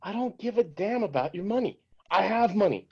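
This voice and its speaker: A man's voice: sits high, lively intonation, brisk, creaky, dark tone, breathy edge, dull audio, exaggerated voice. A man reading an angry, bitter male showrunner in a table read, heated and profane. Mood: scornful.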